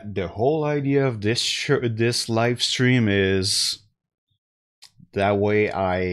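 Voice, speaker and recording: A man in his thirties talks through a headset in a small room at home, slightly stuffed up. His voice sits fairly low and he speaks unhurriedly, pausing with fillers as he chats to his live audience. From Canada. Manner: slow, relaxed, with many fillers and restarts. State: slightly congested. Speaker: tech deals streamer. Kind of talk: casual live-stream talk to chat.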